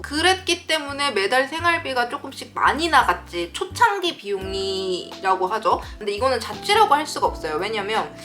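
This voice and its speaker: Bright, high-voiced woman in her thirties. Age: 30s. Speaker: vlogger answering viewer letters. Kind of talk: casual advice talk to viewers.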